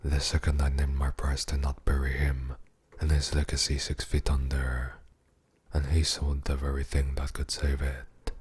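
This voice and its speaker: A man playing a possessive, menacing, seductive mafia boss in an ASMR roleplay monologue, with a husky, breathy voice and a condescending, intimate murmur. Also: very deep voice, monotone, moderate pace, a little mellow, heavily acted. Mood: cold, taunting.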